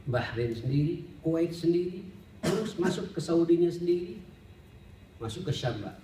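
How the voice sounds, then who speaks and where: A male Islamic preacher, heard in an echoey room at a distance from the mic. His voice is on the mellow side, and he teaches in a conversational sermon style, addressing listeners directly. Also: on the high side, big pitch swings, normal speed. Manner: conversational and didactic, often addresses listeners directly, rhetorical questions. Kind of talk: sermon, study-circle lecture with Arabic recitation. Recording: roomy, distant mic, faint background noise.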